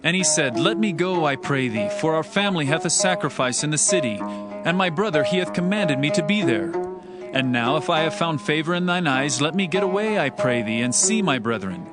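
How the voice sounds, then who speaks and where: A man's voice: on the high side, expressive, fairly quick, close to an everyday voice. A man playing a loyal young prince in a dramatized Bible reading, speaking solemnly and earnestly in declamatory archaic English. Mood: respectful explaining. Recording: background music under the voice.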